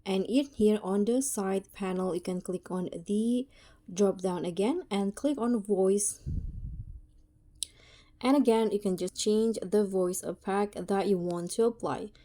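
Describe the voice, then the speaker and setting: Slow-paced, mellow-voiced woman giving a tutorial. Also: medium pitch. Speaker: tutorial host. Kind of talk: casual step-by-step app tutorial narration.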